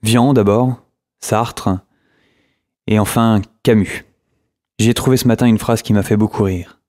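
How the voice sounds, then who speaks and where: Audio drama lead: weary, isolated male survivor played by a man, halting and brooding, fairly low, very dry recording. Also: fairly even intonation, mellow, no echo, lightly acted. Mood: brooding, subdued. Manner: halting, brooding, with pauses and hesitations. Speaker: narrator and lead actor.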